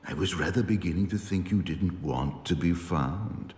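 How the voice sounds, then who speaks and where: An actor playing a menacing, mock-polite visitor in a game cinematic, questioning deliberately and probingly. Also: male voice, deep voice, darkish tone, close to an everyday voice. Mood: sly, controlled. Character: menacing, probing visitor in disguise, calmly interrogating. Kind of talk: dramatic game cinematic dialogue. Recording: cavernous long reverb, faint background noise.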